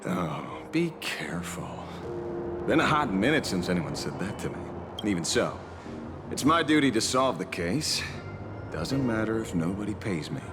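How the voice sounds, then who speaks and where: A man's voice: fairly low pitch, very animated. An actor playing a theatrical, slightly awkward male detective with a vengeful streak in a video game. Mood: grave, resolute. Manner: theatrical, swings from grave and measured to flustered stammering. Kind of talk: dramatic video game character acting. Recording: background music under dialogue.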